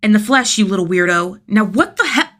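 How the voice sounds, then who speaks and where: A woman voicing a cocky, sardonic young male vigilante with a gruff fondness, in an audio story. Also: mid-range voice, animated, brisk, fairly bright tone, pitched above the performer's own voice, natural voice. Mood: cocky, teasing. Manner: teasing, sardonic, sweary.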